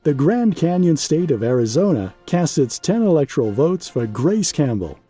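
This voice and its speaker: A man's voice: fairly high pitch, big pitch swings, moderate pace, mellow, husky, soft background music. A man voicing earnest grade-school pupils in a storybook, speaking up with proud, declarative announcements. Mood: proud announcing.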